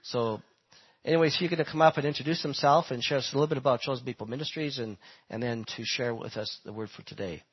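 Guy rushing through a church intro, raspy, far off mic, dull sound. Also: medium pitch. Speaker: congregation leader introducing the guest.

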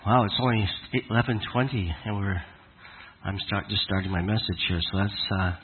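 A man with a cold and a raspy voice, giving a conversational, halting sermon to his congregation. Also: fairly low pitch, phone-quality sound. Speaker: congregation leader. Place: congregation hall in Alberta.

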